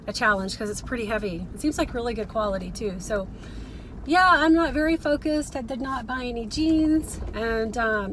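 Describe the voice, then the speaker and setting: A woman's voice: on the high side, fairly bright tone, slight background noise. A middle-aged woman chatting casually to viewers in a rambling, chatty way, recorded inside a car. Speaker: vlogger, thrift reseller.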